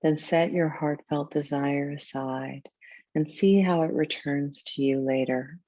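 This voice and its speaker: Very slow, soft-toned woman guiding meditation. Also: low voice, steady tone, no room sound. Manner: soothing, deliberate, measured phrasing with pauses. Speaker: yoga nidra teacher. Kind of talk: guided meditation narration.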